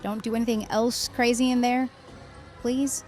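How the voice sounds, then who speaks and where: A woman's voice: a little high, level tone, normal speed, a little mellow, faint music behind, close to an everyday voice. Worried, sensible young woman acting in a horror drama. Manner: cautious, disapproving, pleading.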